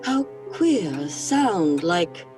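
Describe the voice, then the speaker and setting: Woman acting anxious young victorian lady in a horror radio play, slow n theatrical, music under her. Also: fairly low pitch, very animated, heavily acted. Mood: entranced, uneasy. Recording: dramatic music behind the voice.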